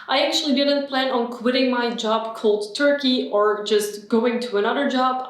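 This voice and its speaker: Echoey woman vlogging. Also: on the high side. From Belgium. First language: Dutch. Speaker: YouTuber. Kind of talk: personal vlog talk to camera. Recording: very echoey room with faint music.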